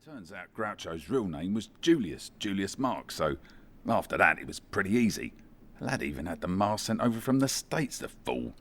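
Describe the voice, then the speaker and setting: Comedy radio play: detective inspector played by a man, low voice, varied intonation, matter-of-fact. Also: big pitch swings, normal speed, a bit bright, full character voice. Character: male police detective inspector, matter-of-fact, faintly apologetic.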